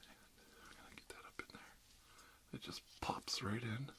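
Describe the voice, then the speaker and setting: A man playing a gentle, reassuring dentist in a soft-spoken ASMR roleplay, murmuring hesitantly and often slipping into a whisper. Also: unhurried, close to an everyday voice. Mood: focused, reassuring. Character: gentle dentist treating a vampire patient.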